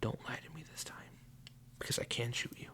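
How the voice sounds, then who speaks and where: A man whispering a playful mock police interrogation as an ASMR roleplay, slow and teasingly accusing. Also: bright, forceful tone.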